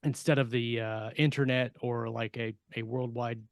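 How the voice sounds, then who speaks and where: A middle-aged man co-hosting a casual panel podcast as an author, explaining things haltingly into a close desk microphone at home. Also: mid-range voice, fairly even intonation, creaky, dry recording. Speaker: podcast co-host and science fiction author. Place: small home room lined with shelves. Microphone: close desk microphone with headset, on a video call.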